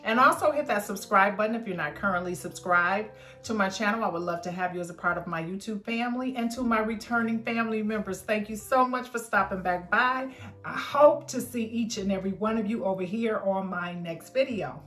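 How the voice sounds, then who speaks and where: A woman's voice: medium pitch, a little fast, fairly bright tone. Chatty middle-aged woman vlogging at home. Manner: chatty, friendly direct address to viewers. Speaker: lifestyle vlogger. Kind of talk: casual clean-with-me vlog talk. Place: bedroom at home, indoors. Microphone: camera in front at close range. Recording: roomy bedroom echo with faint music.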